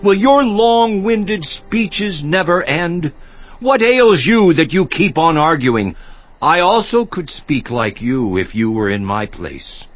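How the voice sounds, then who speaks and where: An actor playing a grief-stricken, worn-out righteous man lamenting to God in a dramatized scripture audiobook, with anguished, drawn-out delivery. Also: on the high side, sing-song, soft background music. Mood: bitter, weary. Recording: dull lo-fi audio, echoing room.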